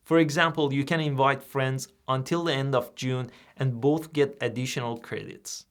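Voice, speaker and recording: A man whose first language is Persian, hosting a software tutorial and explaining each step in a plain, steady way. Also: medium pitch, normal speed. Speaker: tutorial host. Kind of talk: screen-recorded software tutorial.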